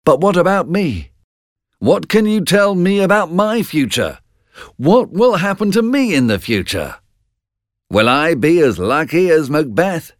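Man playing a bold, high-pitched curious warrior. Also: wildly swooping pitch, measured pace, gravelly, husky, no room sound, clean studio sound, heavily acted. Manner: emphatic, questioning. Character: bold, curious male warrior Banquo, Macbeth's friend. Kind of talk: storybook narration.

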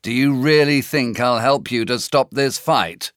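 A woman's voice: a bass voice, a little slow, dry recording, studio quality, voice pushed down. A woman voicing an angry, contemptuous young nobleman spoiling for a fight in a storybook reading. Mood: contemptuous, defiant. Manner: contemptuous, defiant.

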